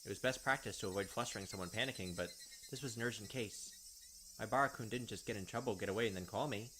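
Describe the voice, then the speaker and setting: A man hosting a let's play, reading a visual novel's narration aloud in a steady, even way. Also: mid-range voice, a little hiss or hum. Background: game music.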